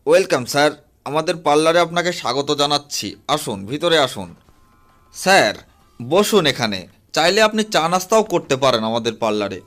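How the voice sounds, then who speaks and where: A man voicing an excitable, flustered cat barber in a comic cartoon dub, exclaiming dramatically over music. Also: on the high side, fairly quick. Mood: eagerly welcoming. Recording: music under the voice.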